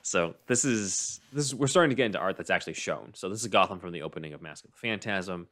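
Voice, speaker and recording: Podcast host, man in his thirties, headset mic, low and relaxed. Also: fast. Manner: laid-back, relaxed, laughs often. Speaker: podcast host presenting concept art. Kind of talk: casual chat over video call. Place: home room. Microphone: headset mic on a video call.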